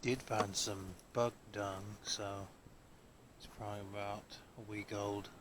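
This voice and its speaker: A man's voice: lowish voice, flat delivery, takes it slowly. A man hosting an outdoor vlog, explaining things casually to camera with folksy, hesitant phrasing, recorded outside in the woods. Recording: noisy outdoor camera audio.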